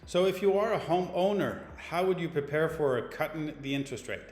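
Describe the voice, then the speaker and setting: A man's voice: a little high, animated, fairly quick, a little mellow. Male interview host asking polite, formal questions; roomy sound with a long reverb tail and faint music.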